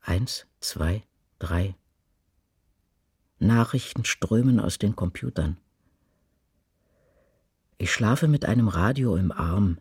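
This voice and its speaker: A man performing a grave prophetic witness to war in a radio drama, declaiming slowly in a husky, breathy voice. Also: lowish voice, animated, darkish tone, no room sound, studio quality, exaggerated voice. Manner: slow, declamatory, weighty. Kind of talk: dramatic radio poetry recitation.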